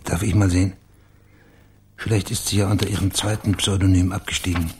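An actor in a German radio crime drama playing a polite, persistent investigator with a matter-of-fact way of asking questions. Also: male voice, deep voice, little pitch movement, fairly quick, darkish tone, husky, heavily acted. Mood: polite, probing. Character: male investigator posing as biographer, polite, probing.

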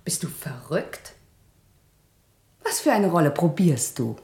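A young lover in a radio drama, weary and impatient with her partner. Her low voice has a blunt, sardonic bite. It rings in a roomy, echoing space. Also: very animated, slow pace, a little mellow, full character voice. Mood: exasperated. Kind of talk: radio play acting.

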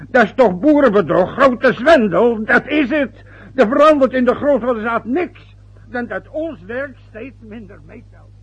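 A resentful, brooding old father played by a man in a vintage radio drama. His voice is gravelly and husky. The old recording sounds muffled. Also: sits high, heavily acted. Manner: bitter, brooding, short of breath later. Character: aging working-class father, bitter and ill.

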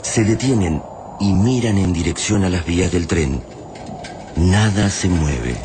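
A man playing a grave storyteller, narrating a radio drama in measured, literary Argentine Spanish. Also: low voice, expressive. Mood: solemn, measured. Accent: Argentine Rioplatense. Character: grave, solemn male storyteller of doom. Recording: noisy sound-effects bed behind narration.

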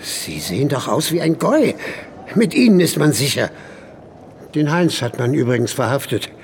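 An actor playing a frightened, hard-of-hearing old man in a radio play, talkative, loud and plaintive. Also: medium pitch, very animated, moderate pace, a little hiss or hum. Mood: excited, relieved. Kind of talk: German radio drama acting.

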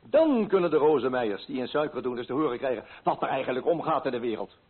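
An actor playing a pompous, self-righteous coffee broker in a radio play, fussy and indignant in manner. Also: male voice, medium pitch, sing-song, fast, slightly rough. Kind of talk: Dutch radio play acting. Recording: muffled old radio recording.

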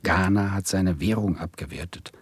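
Brooding student narrator, low and very husky, muttering obsessively, 1970s radio drama. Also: male voice, normal speed, no echo. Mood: brooding narration. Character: brooding, obsessed doctoral student gambling on cocoa. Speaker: narrator and lead character. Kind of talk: 1970s German radio play acting, narration.

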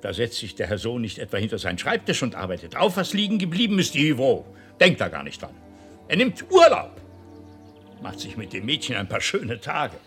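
A man's voice: medium pitch, wildly swooping pitch, fairly quick, fairly bright tone, soft background music. An actor playing a domineering, hot-tempered middle-aged father and company boss in a radio drama, imperious and sarcastic. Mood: angry, demanding.

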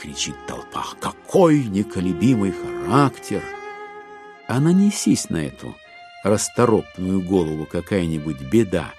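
A man narrating a literary audio drama, with expressive, ironic and deliberate phrasing. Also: on the low side.

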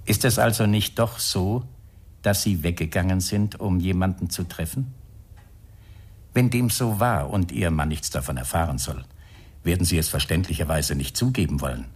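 A man's voice: deep voice, slightly rough. An actor playing a probing, irritable male police inspector in a radio crime drama, weary, sardonic and insistent. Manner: measured, insistent questioning, grumbling.

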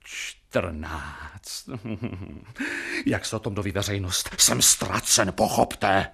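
A panicky, respectable married man in a radio drama. He pleads nervously and desperately, his pitch leaping about. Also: animated, gravelly, pushed and bright, breathy edge, full character voice. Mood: panicked. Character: panicky married male public figure confessing a scandal.

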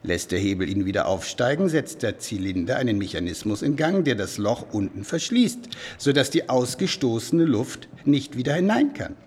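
An actor playing a proud medieval inventor who explains things emphatically in a German radio play. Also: male voice, medium pitch, big pitch swings, moderate pace, few pauses, a little mellow, slightly rough. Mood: proudly explaining. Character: proud male medieval inventor defending his machines.

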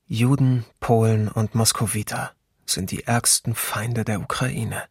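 A man playing a bitter, ironic civilian questioning himself in a reflective radio play monologue, with a husky voice. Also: on the low side, fairly even intonation, measured pace, no room sound, clean studio sound. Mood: bitter, brooding.

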